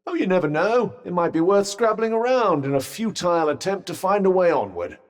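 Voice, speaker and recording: An actor voicing a sentient magical vambrace in a video game, a wry, haughty, cautious companion with a British accent and dry, sardonic, formal understatement. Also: male voice, sits high, expressive, fairly quick. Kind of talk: video game character dialogue. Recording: long reverberant echo on the voice.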